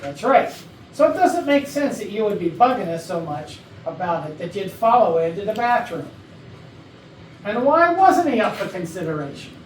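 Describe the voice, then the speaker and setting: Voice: mid-range voice, big pitch swings, normal speed, lightly acted. An actor playing a blunt detective who presses suspects with authority in a staged murder mystery comedy. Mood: pressing. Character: blunt, probing detective leading a murder interrogation. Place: rehearsal room for a staged reading. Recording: echoey room, distant mic, background noise.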